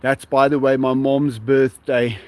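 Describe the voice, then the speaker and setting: Slow, musing Afrikaans-accented man. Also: mid-range voice, level tone, no room sound. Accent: South African Afrikaans. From South Africa. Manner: musing, unhurried, reads viewer questions aloud and chuckles. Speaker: live streamer. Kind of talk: casual live stream chat. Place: outdoors at sunset.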